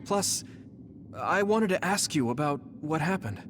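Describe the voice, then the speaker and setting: An actor voicing an earnest, worried young male squad leader in an anime video game, with loud music behind him. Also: on the high side, lively intonation, a little slow, noisy background.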